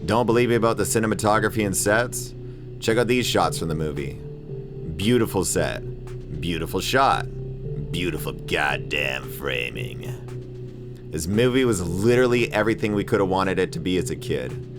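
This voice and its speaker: A man's voice: sits low, expressive, moderate pace. A man in his thirties narrating a casual video essay in an irreverent, opinionated, slangy and profane way. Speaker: video essayist, channel host. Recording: music playing behind the voice. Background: background music.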